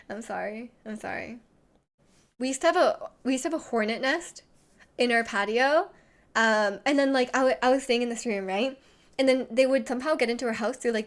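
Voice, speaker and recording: A young woman streamer with a slight lisp, chatting casually and rambling with lots of "like" while reading chat messages. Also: fairly high pitch. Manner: rambling, heavy use of "like".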